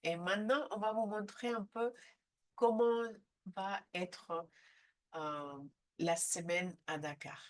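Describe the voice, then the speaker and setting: Woman with a cold, trainer presenting a seminar schedule, slow unhurried delivery, mid pitch, halting. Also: fairly even intonation. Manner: hesitant, frequent filler sounds. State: has a head cold. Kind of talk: live online training session.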